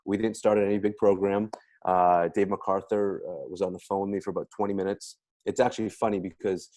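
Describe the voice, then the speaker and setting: Low-voiced American man, close dry sound. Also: mellow, dry recording. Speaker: guest speaker, spine clinic doctor. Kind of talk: casual testimonial talk to an online group.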